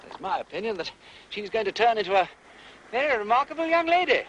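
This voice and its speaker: An actor playing an urbane, playful gentleman detective with an upper-class English accent, breezy and courteous in a British detective drama. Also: sits high, sing-song, quick pace, highs cut off, a little hiss or hum.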